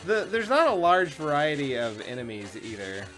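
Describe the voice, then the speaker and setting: A man's voice: fairly high pitch, very animated, normal speed, a bit bright. A man co-hosting a game show, giving casual, informal and sweary commentary as he plays. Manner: informal, profane. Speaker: video game show co-host and player. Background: game music.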